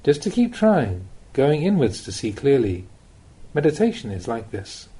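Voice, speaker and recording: A man reading a spiritual teaching aloud as an audiobook, with measured, deliberate phrasing and pauses. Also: mid-range voice, sing-song, dark tone, breathy edge. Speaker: Buddhist monk reading recorded teachings. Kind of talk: audiobook reading of a Dhamma talk.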